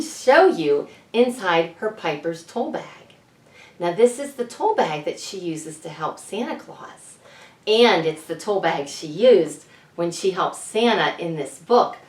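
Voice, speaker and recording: A woman talks to young children as a storytime presenter, taking her time over each phrase. Her pitch moves up and down in a lively, teacherly way as she poses questions. She sounds set back from the microphone in a roomy space. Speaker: children's author presenting at a library story event. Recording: roomy echo, distant microphone.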